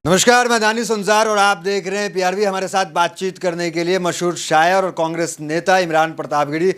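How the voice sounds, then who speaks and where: A man's voice: sits high, fairly even intonation, a little fast, a bit bright, clear, resonant tone, soft background music. A man working as a news interviewer, putting loosely phrased, informal questions to his guest.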